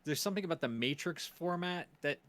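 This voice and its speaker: A man, a musician on a livestream, chatting casually and haltingly as he thinks aloud. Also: on the high side, animated, fairly bright tone. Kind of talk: casual chat.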